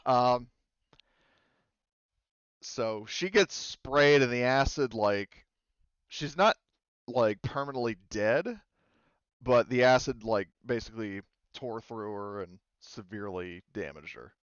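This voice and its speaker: Man, game master, casual rules talk, smooth voice, slightly dull sound. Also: medium pitch, slow pace, long pauses, no room sound. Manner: casual, filler-heavy explaining of rules. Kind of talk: running a tabletop roleplaying game online.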